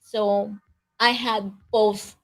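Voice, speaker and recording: A middle-aged woman from El Salvador, quietly recalling her childhood in a casual interview. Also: mid-range voice, flat delivery, drawn-out words, poor audio. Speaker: guest, mother of a guest.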